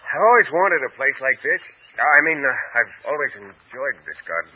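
An actor playing an uneasy impostor in an old radio drama, talking haltingly and huskily. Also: male voice, mid-range voice, very animated, slightly rough. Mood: uneasy, apologetic. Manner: hesitant, halting. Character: uneasy male impostor posing as returning nephew. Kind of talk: 1940s radio acting. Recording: tinny old radio recording.

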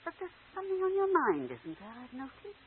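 An actress in an old-time radio drama playing a chatty, fond, meddling aunt who gently scolds. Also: female voice, high voice, huge pitch swings, brisk, slight background noise. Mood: knowing, fond. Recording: old radio show, narrow phone-like sound.

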